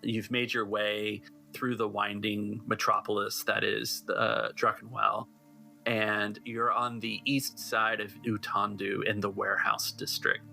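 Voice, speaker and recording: A man's voice: on the low side, flat delivery, measured pace, vocal fry, bright, forceful tone, faint music behind, poor audio. A man working as game master, narrating a tabletop game in a conversational, improvised way. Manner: conversational, improvised scene-setting.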